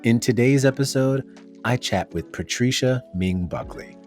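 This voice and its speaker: A man's voice: mid-range voice, unhurried, darkish tone, soft background music. A man hosting an interview podcast, talking in an easygoing way with casual fillers. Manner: easygoing, uses fillers like "you know". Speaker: podcast host. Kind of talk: conversational interview.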